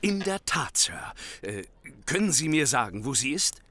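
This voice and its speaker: An actor playing a nervous, desperate young thief in a radio play, anxious, stammering and breathlessly pleading. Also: male voice, a little high, big pitch swings, normal speed, bright, forceful tone, a little hiss or hum. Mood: alarmed. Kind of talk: German radio play acting.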